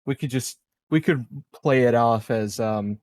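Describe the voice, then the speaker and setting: A man in casual tabletop roleplaying banter, speaking in a hesitant, halting way as one of the players. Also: medium pitch, a little mellow, no room sound.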